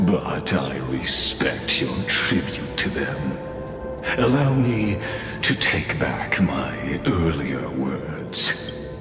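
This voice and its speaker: A man's voice: extremely low pitch, full character voice. A man voicing a grandiose ancient sage in a video game, coldly philosophical and stately, with theatrical delivery. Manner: slow, deliberate, stately delivery. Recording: echoey long reverb under loud music.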